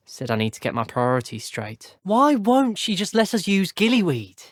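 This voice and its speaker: A man acts as a teenage British schoolboy wizard from a video game, wry and full of opinions. His delivery is conversational and slightly posh, with expressive phrasing. The pitch is medium and the recording is close and dry. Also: dry recording, natural voice. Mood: animated, indignant. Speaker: game voice actor. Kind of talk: scripted video game character barks.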